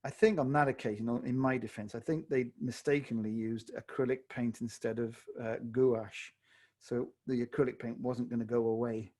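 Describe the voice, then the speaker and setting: A man with a slightly hoarse voice, teaching watercolor live on a video call and thinking aloud as he paints. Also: medium pitch, moderate pace. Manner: relaxed, thinking aloud while painting, self-correcting. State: slightly hoarse, losing his voice. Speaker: watercolor tutor. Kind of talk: live online painting tutorial.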